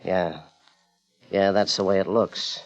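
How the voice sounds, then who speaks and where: An actor playing a guarded, politely hesitant investor questioned by police in a 1950s radio crime drama. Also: male voice, low voice, fairly even intonation, slow pace. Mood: uneasy, rueful. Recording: muffled vintage radio broadcast, music.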